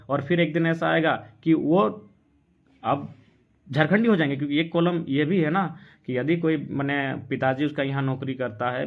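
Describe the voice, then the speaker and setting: Insistent Jharkhandi man, higher voice, dull audio. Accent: Jharkhandi Hindi. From Jharkhand, India. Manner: insistent, argumentative, full of rhetorical questions. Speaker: political activist. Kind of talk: impassioned political talk on a live stream, answering comments. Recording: dull, lo-fi sound.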